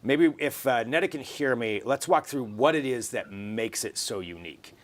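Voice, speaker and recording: A man's voice: medium pitch, normal speed. Man, talk show host, mid-range voice, conversational, live unscripted delivery. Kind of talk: live interview and unscripted presenting.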